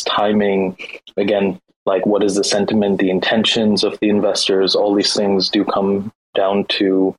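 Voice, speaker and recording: A man in a casual crypto discussion, speaking in a measured, reflective way. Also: lowish voice, low-quality recording.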